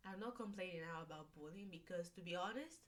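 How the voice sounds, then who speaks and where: A young woman from Romania, speaking English, talking to camera in a personal vlog, slow and hesitant with many fillers. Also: fairly low pitch. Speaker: vlogger.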